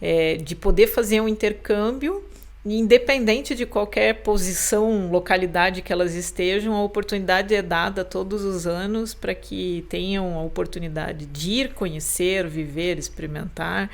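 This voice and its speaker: A woman hosting a podcast interview, speaking in reflective, drawn-out phrases with frequent restarts. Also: medium pitch, vocal fry. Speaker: podcast host. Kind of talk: conversational interview.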